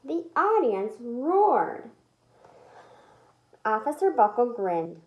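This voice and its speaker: Animated woman reading a children's story. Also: on the high side, extremely slow, highs cut off. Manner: animated, emphatic, acts out the dialogue. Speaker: read-aloud storyteller. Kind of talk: expressive children's picture-book read-aloud.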